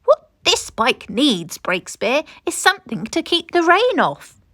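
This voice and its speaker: A woman reading a children's storybook, voicing a determined, resourceful woman cyclist with slow, drawn-out emphasis. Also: high voice, wildly swooping pitch, bright, forceful tone, pitched above the performer's own voice, full character voice. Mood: emphatic, resolved. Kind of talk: children's storybook read-aloud.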